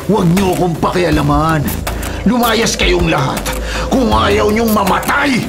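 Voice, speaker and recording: A man's voice: sits high, animated, a little slow, gravelly, over music, heavily acted. A man playing a hot-tempered, defiant illegal logger in a radio drama, with a threatening, domineering delivery. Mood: angry, threatening. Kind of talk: Filipino radio drama acting.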